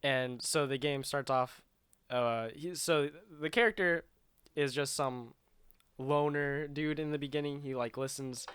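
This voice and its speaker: A man's voice: mid-range voice, steady tone, unhurried, fairly bright tone, clear, resonant tone, dry recording. A man co-hosting a show, chatting casually about video games in a rambling, loosely explained way. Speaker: video game show co-host.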